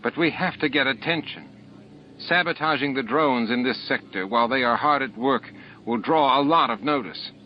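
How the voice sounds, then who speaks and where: A man's voice: mid-range voice, moderate pace, a little hiss or hum, voice pushed up, close to an everyday voice. A man voicing a scheming, sneering male alien rebel in an audiobook. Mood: placating. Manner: goading, sneering. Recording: dull lo-fi audiobook tape.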